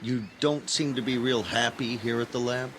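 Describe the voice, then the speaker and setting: An actor playing an earnest, cautious young male graduate student in an audio drama, speaking in a slightly halting way. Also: mid-range voice, fairly even intonation, noisy background, close to an everyday voice. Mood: friendly, hesitant. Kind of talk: naturalistic audio drama acting. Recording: clear background ambience.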